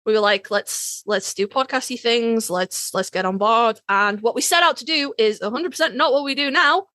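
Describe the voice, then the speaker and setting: Bright, high-voiced British woman chatting. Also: normal speed, no room sound. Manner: sweary, informal. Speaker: podcast co-host. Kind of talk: casual chat.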